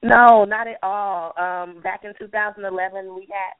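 A woman's voice: medium pitch, steady tone, moderate pace, no echo. A woman from Texas, a business owner, answering interview questions hesitantly with fillers, a little stuffy from allergies. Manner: hesitant, soft-spoken with fillers and light laughs. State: mild allergies. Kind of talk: phone interview. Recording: tinny dry phone line.

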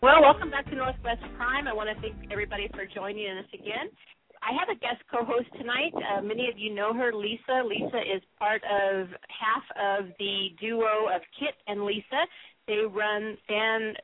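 A woman's voice: medium pitch, fairly even intonation, moderate pace, narrow, tinny audio, soft background music. A woman hosting a conversational radio interview show.

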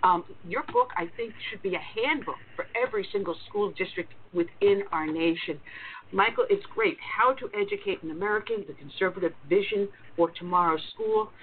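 A woman's voice: medium pitch, normal speed. A woman hosting a conservative talk radio show, talking forthrightly and emphatically with her guests. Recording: narrow phone-like line with faint noise.

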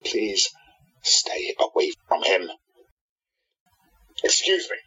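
Wronged radio-drama hero, male actor: low and husky, highly animated, emphatic, dull audio. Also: slow, exaggerated voice. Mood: earnest warning. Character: intense wronged hero, bitter enemy of the villain.

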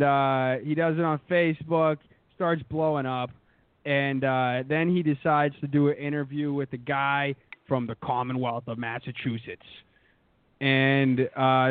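A man's voice: medium pitch, level tone, a little slow, smooth, dry recording. A young man from the Boston area hosting a podcast, rambling and joking with lots of swearing. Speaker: podcast host. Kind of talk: casual profane podcast banter. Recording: dry, tinny phone-like sound.

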